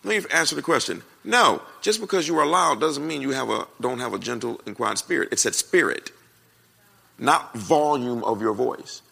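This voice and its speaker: An American man preaching and answering questions in a naturally loud voice, with a folksy, emphatic way of talking that repeats phrases for effect. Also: medium pitch, animated, normal speed, creaky, slightly rough. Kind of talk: conversational sermon with question and answer.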